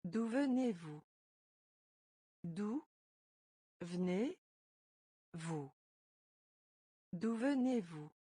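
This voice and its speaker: A French teacher, a woman, drilling phrases slowly, breaking each into single words and then repeating it whole. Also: medium pitch, expressive, warm tone, breathy edge, dry recording, studio quality. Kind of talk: slow phrase-by-phrase pronunciation drill.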